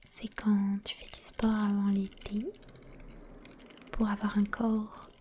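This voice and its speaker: A woman hosting a casual ASMR live chat, speaking softly and intimately to viewers in a breathy whisper. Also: medium pitch, completely flat delivery, slow pace, slight background noise. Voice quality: breathy, mostly unvoiced whisper. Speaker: ASMR streamer, host.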